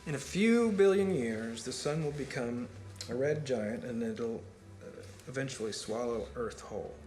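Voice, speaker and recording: A man's voice: mid-range voice, animated, moderate pace, a little mellow, lightly acted. An actor playing a rambling, fatalistic party guest who holds forth philosophically in a naturalistic film monologue, building his argument step by step in a conversational lecture. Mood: somber, musing. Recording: roomy film dialogue with music behind. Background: music.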